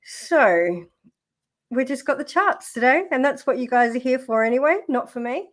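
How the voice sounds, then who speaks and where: A woman hosting a casual crypto livestream, her voice croaky from the flu, chatty and rambling with many fillers. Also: high voice, expressive, moderate pace. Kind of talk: casual livestream chart talk. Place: home setup, camera off.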